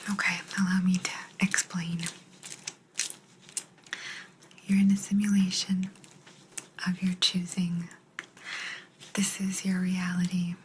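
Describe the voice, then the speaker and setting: A woman playing a gentle, patient alien abductor in a soft, breathy ASMR whisper, confiding closely in the listener. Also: on the low side, little pitch movement, a little slow, long pauses, slight background noise, lightly acted, rough recording. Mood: calm, explaining. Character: gentle, apologetic female alien abductor explaining a simulation.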